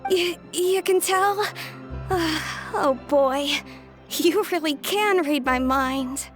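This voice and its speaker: A woman's voice: high voice, slow, soft background music. A woman voicing a small, timid boy in a video game, awed and stammering. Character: small boy, shy and amazed.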